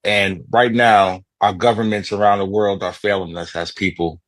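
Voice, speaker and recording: A man's voice: lowish voice, steady tone, normal speed, no room sound. Grave man speaking earnestly, dry sound.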